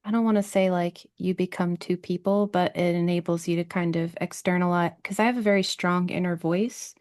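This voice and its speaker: A woman's voice: fairly low pitch, fairly even intonation, fairly quick, a little mellow. Chatty woman podcast host. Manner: chatty and explanatory, with many "like" and "right?". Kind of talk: conversational interview.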